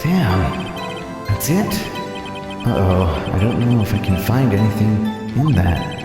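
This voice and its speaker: A male streamer with a fairly low voice reads game dialogue aloud. His delivery is theatrical and a bit halting, with clear music in the background. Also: sing-song. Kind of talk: dramatic read-aloud game playthrough.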